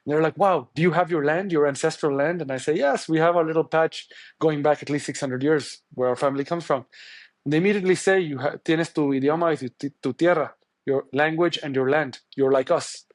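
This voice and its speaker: A man's voice: a little high, a little fast, dry recording. Thoughtful male journalist, dry close sound. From India. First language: Tamil. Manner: thoughtful, searching, many restarts and fillers. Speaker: journalist guest. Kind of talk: podcast interview answer.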